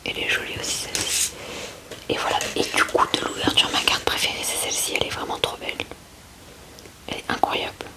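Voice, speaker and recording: A woman whispering ASMR commentary in a breathy, hushed murmur, close to the microphone. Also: brisk, long pauses, low-quality recording. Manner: hushed, murmuring short asides to viewers. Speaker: ASMR creator.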